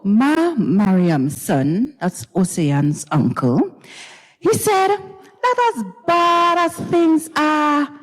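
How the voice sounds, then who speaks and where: A woman's voice: a little high, a little mellow. A woman with a Grenadian accent narrating a dramatized reading like an oral storyteller, in a conversational manner. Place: large reverberant recital hall. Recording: reverberant recital hall.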